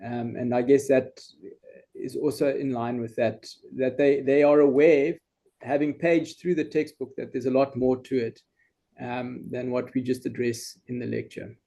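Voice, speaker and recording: A male lecturer explains his teaching approach in a warm, mellow voice at an ordinary pitch. He speaks in a measured way, pausing on "um" as he builds each point. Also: flat delivery. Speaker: university lecturer, panelist. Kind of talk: online panel discussion.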